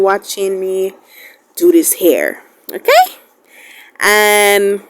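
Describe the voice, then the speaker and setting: A woman vlogger with a medium-pitched voice chats informally to her audience. She addresses viewers affectionately, like a host introducing a tutorial in an easy, conversational style. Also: darkish tone. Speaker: beauty YouTuber, host. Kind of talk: casual chatty vlog talk to viewers.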